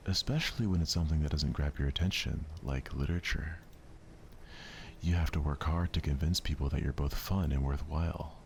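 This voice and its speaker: A man's voice: extremely low pitch, fairly even intonation, fairly quick, vocal fry, a little mellow, slight background noise. Confident teenage club president, female character played by a man, encouraging and poised, visual novel game. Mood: friendly, confident. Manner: encouraging, assured.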